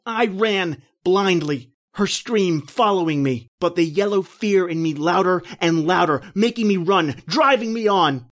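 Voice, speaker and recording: A man's voice: sits high, measured pace, hardly any pauses. A man acting out a terrified man telling how he died in the tar pits, in old-time radio drama style. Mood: panicked. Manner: intense, rising to panicked shouting. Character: terrified man narrating his own death.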